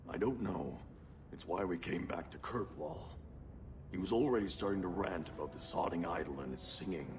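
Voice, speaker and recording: Man acting a deep-voiced, frightened dwarf servant. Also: moderate pace, music in the background, natural voice. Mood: fearful, pleading. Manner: pleading, grave. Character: frightened, haunted male dwarf household servant. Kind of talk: video game dramatic acting.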